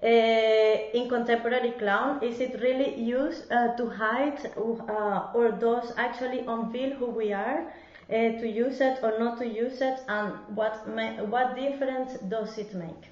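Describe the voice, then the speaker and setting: A woman hosting a live online show, speaking slowly and hesitantly with many pauses and ums. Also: a little high, fairly even intonation, a little mellow, reverberant, lo-fi sound.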